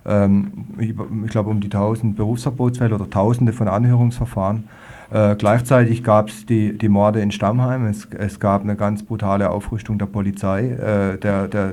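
A man's voice: fairly low pitch, a little mellow. A man hosting a radio discussion, searching tentatively for his words. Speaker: radio host.

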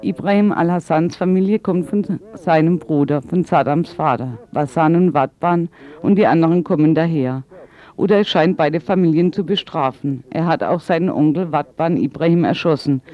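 A man with a fairly high voice interprets an interview as a voice-over. The recording is a little dull, as in a lo-fi broadcast feed.

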